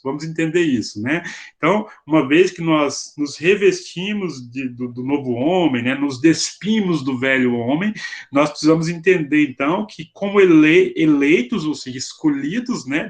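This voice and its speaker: A man with a Brazilian accent leading an informal expository Bible study over a video call, explaining in a didactic, conversational way with frequent tag questions. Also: a little high.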